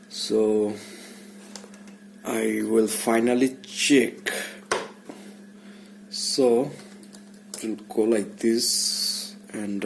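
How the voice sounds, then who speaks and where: A man giving a casual hands-on tutorial, speaking haltingly and muttering to himself as he works indoors. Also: mid-range voice, vocal fry. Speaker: DIY vlogger. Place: small indoor room. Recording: background noise, room ambience.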